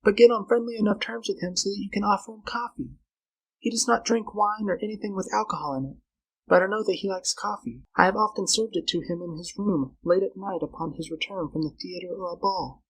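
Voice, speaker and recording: High-pitched, breathy young woman, played by a male narrator: urgent, fearful schemer for an adventure audiobook. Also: fairly quick, low-quality recording. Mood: urgent scheming. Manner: urgent, pleading.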